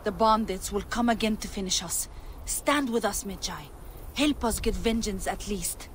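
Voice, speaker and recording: A woman's voice: mid-range voice, moderate pace, slight background noise, lightly acted. An actress playing a grieving, vengeful refugee farm woman in a video game, earnest and resolute. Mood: grieving, pleading.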